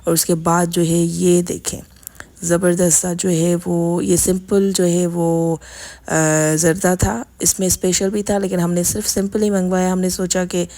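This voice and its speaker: A woman in her thirties from Pakistan with a hoarse, scratchy throat, narrating her vlog in a chatty way full of fillers. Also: on the low side, little pitch movement, measured pace, mellow. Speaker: vlogger. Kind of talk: vlog voice-over.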